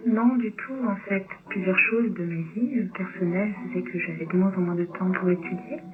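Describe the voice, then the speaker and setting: A phone-thin, noisy radio signal carries a woman's mid-pitched voice. She is a native Romanian speaker giving a reflective interview, often pausing to search for words. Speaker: novelist, radio guest. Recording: tinny low-bitrate shortwave radio, clear noise.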